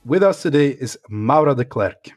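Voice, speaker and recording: A man's voice: medium pitch, lively intonation, moderate pace, dry recording, faint music behind. A man hosting a technology podcast, talking in a hesitant, casual way with many fillers. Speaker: podcast host. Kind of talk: casual interview chat.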